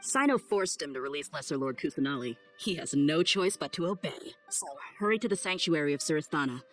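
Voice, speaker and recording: An actress playing a confident, easygoing, tough mercenary in a video game, with a breezy, informal, streetwise manner. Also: female voice, low-pitched, animated, fairly quick, faint music behind. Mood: brisk, satisfied. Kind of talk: casual video game dialogue acting.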